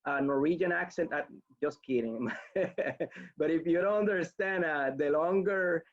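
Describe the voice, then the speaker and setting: A man, a webinar instructor answering audience questions in a halting way, with many restarts. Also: fairly high pitch, lo-fi sound. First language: Spanish.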